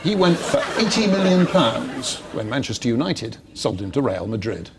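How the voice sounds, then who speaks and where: News reporter guy doing voiceover, measured and emphatic, bouncy intonation. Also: medium pitch, lively intonation, vocal fry, background noise. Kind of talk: scripted news report voice-over.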